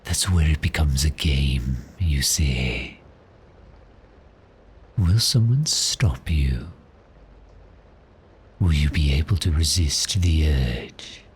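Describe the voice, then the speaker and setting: Man acting a very deep, suave predatory vampire. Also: lively intonation, a little hiss or hum, heavily acted. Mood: teasing, menacing. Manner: breathy half-whispered, seductive, unhurried, politely menacing. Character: cheerful predatory male vampire, suave and teasing. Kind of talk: ASMR roleplay, slow intimate monologue.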